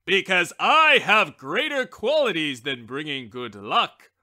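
A strutting, self-important little wishbone in a storybook, declaiming loudly and talking down to everyone around him, as a woman reads him. His voice is bright and swings widely in pitch as he boasts. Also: big pitch swings, slow, exaggerated voice. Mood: haughty. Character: pompous boastful male wishbone, small.

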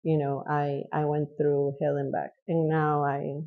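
A woman's voice: low voice, level tone, a little slow, narrow, tinny audio. A woman in her thirties from Costa Rica, a podcast guest chatting casually from a living-room couch, laughing often and trailing off. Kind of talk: casual interview.

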